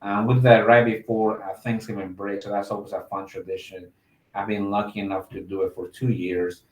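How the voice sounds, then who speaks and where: Guy from mexico talking up his college, casual with lots of ums, lowish voice, roomy echo. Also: flat delivery, moderate pace, a little mellow. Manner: casual, upbeat, uses fillers. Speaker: admissions officer. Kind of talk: interview answers.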